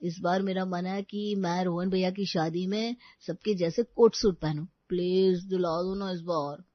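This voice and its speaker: A woman's voice: fairly low pitch, fairly even intonation, unhurried, no echo, highs cut off, natural voice. A woman playing a cheeky college-age son who wheedles in a cartoon family story. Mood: pleading.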